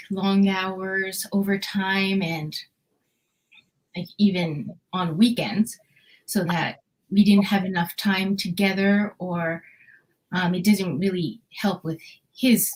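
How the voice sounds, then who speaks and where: A middle-aged woman originally from Japan talks slowly and gently as an interview guest. She pauses often, hedging her words with small fillers. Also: mid-range voice, steady tone, poor audio. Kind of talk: casual interview talk.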